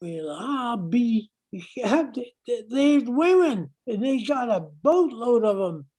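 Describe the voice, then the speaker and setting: A slow, slightly high voice. An older woman plays a cocky, scheming bayou bandit in a radio drama, always sizing up an easy haul. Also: animated, mellow, husky, heavily acted. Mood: gloating. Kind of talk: radio play acting.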